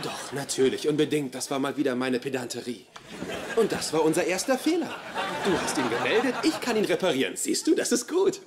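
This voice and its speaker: An actor playing a nerdy, eager and apologetic young boyfriend in a dubbed sitcom, earnest and a little awkward. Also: male voice, fairly high pitch, very animated, brisk, over a noisy crowd, heavy background noise. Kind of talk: dubbed German sitcom acting.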